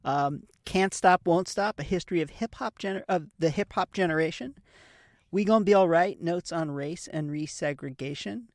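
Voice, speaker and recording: Guy hosting an interview, kinda high creaky voice, dry audio, halting questions, corrects himself. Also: dry recording. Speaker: interview host. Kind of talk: casual conversational interviewing.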